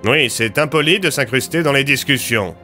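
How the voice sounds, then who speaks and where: A man's voice: medium pitch, moderate pace, sharp tone, faint music behind, close to an everyday voice. A man playing a stern lawman in an audio drama, dry, firm and formal, with a sarcastic, threatening edge. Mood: irritated, sarcastic.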